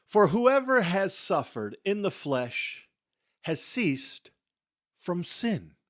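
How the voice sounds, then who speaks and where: A man with a fairly high voice reads scripture as a dramatic performance. His delivery is very slow and solemn, leaning on key phrases and leaving deliberate pauses between them. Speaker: Bible reader.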